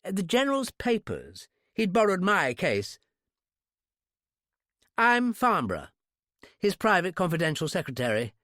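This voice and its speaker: A man's voice: sits high, huge pitch swings, unhurried, pauses often, bright, forceful tone, no echo, studio quality, pitched above the performer's own voice, exaggerated voice. A man voicing a fussy, over-polite English private secretary with an exaggerated upper-class accent, in an audiobook. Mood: fussy, earnest. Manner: pompous, airy, over-polite. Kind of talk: audiobook character voice.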